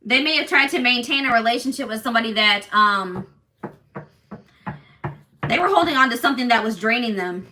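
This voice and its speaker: A woman doing a casual tarot reading for her viewers, talking in an assertive, loud way with lots of restarts and "like". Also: on the high side, brisk, long pauses. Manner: animated, many restarts and fillers. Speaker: tarot reader.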